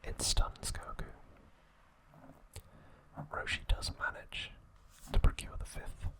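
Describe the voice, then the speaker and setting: A man narrating trivia for ASMR in a soft, breathy whisper, reading deliberately in short broken phrases.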